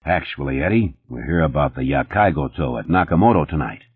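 A man voicing a calm, unruffled police captain who speaks quietly with restrained menace. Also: a bass voice, a little fast, breathy edge, no echo, phone-quality sound, full character voice. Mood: quiet, grave.

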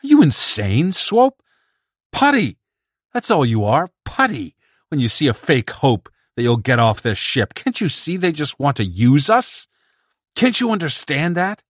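A man voicing a huge broad-chested male mutant who speaks loudly, harshly and with contempt. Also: medium pitch, very animated, measured pace, no room sound, studio quality, pitched above the performer's own voice. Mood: scornful.

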